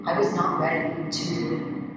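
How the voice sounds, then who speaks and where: Low-voiced young woman guest, chatty, far mic in an echoey gallery. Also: slow pace. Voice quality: low speaking voice. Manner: chatty and animated, many fillers and restarts. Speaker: guest singer and songwriter. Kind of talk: casual panel conversation. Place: art gallery event space. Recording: echoey gallery room, distant mic, audible noise.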